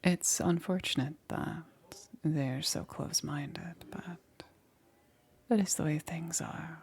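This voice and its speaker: A woman voicing a caring, gently persuasive witch in a hushed, breathy ASMR roleplay. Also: sits low, fairly even intonation, takes it slowly, full character voice. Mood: coaxing, knowing. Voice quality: breathy, whispery. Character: soft, coaxing witch, a caring self-confessed monster.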